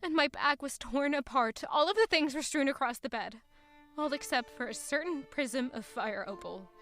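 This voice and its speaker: A high female voice as a haunted young traveler telling her own tale in an audio drama. She narrates with dramatic intensity and a bitter, vengeful edge, over music. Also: animated, normal speed, a bit bright. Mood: subdued. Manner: dramatic, intense storytelling delivery.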